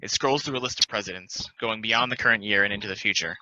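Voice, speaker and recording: A man's voice: on the low side, quick pace, bright, forceful tone, no room sound. A man reading scripted narration as the narrator of stage directions. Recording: dry, dull lo-fi audio.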